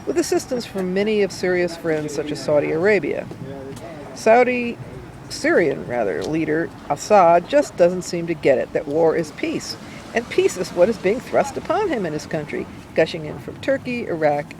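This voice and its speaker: A woman reads an essay aloud outdoors, with deliberate, emphatic phrasing and pauses. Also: lowish voice, big pitch swings. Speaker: amateur reader. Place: outdoors by a cafe in town. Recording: noisy outdoor street recording, traffic sounds. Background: urban outdoor ambience, vehicles.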